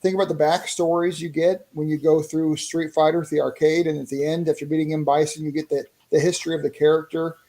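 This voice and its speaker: A man's voice: fairly high pitch, flat delivery, quick pace, hardly any pauses, warm tone. A man talking casually as a guest on a podcast, enthusiastic and explanatory, often repeating his phrases. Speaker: interview guest, indie comic creator promoting a fighting game.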